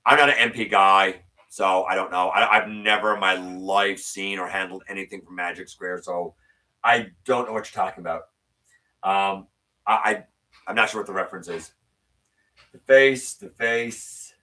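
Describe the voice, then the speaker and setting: A man hosting a live stream, reading viewer comments and answering them in casual, opinionated chat, his voice sometimes a little raspy. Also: steady tone, moderate pace, sharp tone, recorded from a distance, poor audio. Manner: opinionated, ranting with rising pitch when worked up.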